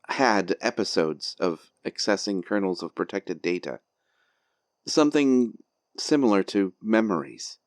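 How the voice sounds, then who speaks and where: A man playing a detached artificial intelligence in a cyberpunk audio drama, speaking in formal, measured, clinical phrases. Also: lowish voice, dry recording, clean studio sound. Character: male artificial intelligence program with grafted human personality, detached, formal.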